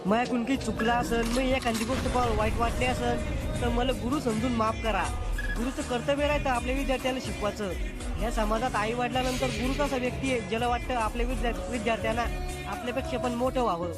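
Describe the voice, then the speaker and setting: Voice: medium pitch, fairly even intonation, quick pace, a loud crowd, natural voice. A voice actor playing a strict rural male schoolteacher, blunt and scolding. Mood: heartfelt. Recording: loud music under the voice.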